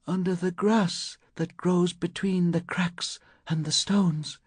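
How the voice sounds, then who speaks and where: A man's voice: mid-range voice, sing-song, normal speed, slightly rough, no echo. A man voicing a frail, haunted woman medium in an audiobook, pleading and trembling. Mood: faint, haunted.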